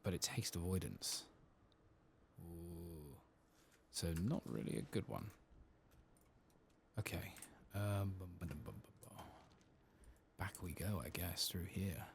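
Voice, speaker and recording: A man with a very low voice speaks softly in an ASMR game playthrough. His voice has a lot of gravelly fry, and there is clear noise with some clicking behind him. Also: lively intonation, takes it slowly, pauses often. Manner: soft-spoken, sometimes dropping to a whisper. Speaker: gaming channel host. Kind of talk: soft-spoken ASMR game playthrough commentary.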